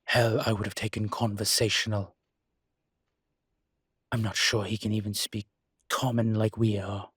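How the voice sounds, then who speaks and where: A man playing a suave, proud incubus demon in a roleplay audio drama, speaking in a husky voice with wry, articulate, emphatic phrasing. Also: fairly low pitch, flat delivery, unhurried, pauses often, fairly bright tone, no room sound, clean studio sound, heavily acted. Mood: smooth, enticing. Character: suave adult male incubus demon, proud, wry, protective of his kind. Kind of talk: roleplay audio drama, intimate conversational monologue.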